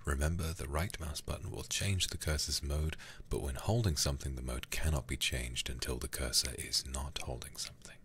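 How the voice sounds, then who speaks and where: A man livestreaming a game in an intimate ASMR style, hushed and often whispering close to the microphone. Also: very deep voice, fairly even intonation, a little fast, few pauses, a little hiss or hum. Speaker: livestream host playing a video game.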